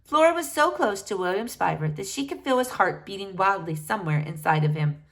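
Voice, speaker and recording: A woman narrating a children's book aloud in an expressive storytelling style. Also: medium pitch, brisk.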